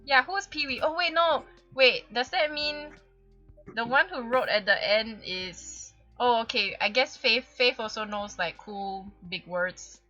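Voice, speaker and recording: A woman's voice: a little high, sharp tone. A woman hosting a casual group livestream, chatting informally, with dull lo-fi sound. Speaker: livestream host. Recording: dull lo-fi voice audio. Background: light music.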